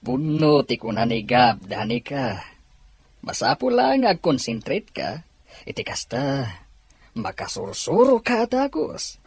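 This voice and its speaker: A man playing a caring middle-aged father in an audio drama, with a husky voice. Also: mid-range voice, big pitch swings, slow pace, exaggerated voice. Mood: gentle, reassuring. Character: caring father of a blind daughter.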